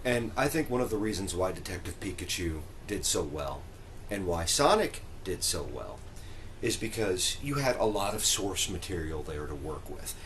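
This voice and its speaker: A man in a casual group discussion who makes his points deliberately and checks that others agree. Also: deep voice, sing-song, fairly bright tone, slight background noise. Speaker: podcast panelist.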